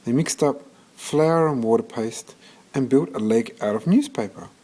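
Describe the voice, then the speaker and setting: A man reading a children's story aloud in an expressive storytelling manner, occasionally stumbling and restarting. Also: medium pitch, moderate pace, poor audio. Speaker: storybook reader.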